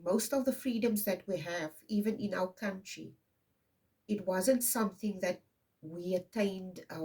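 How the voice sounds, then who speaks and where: South African woman reading tarot, low voice. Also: dark tone, recorded from a distance, rough recording. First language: Afrikaans. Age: 50s. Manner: rambling, tags many lines with "okay?". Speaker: tarot reader. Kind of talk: casual intuitive card reading.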